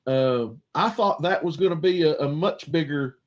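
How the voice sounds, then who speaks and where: A man co-hosting a casual wrestling talk show, chatty and quick with asides. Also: on the high side. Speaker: podcast co-host. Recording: dull lo-fi sound.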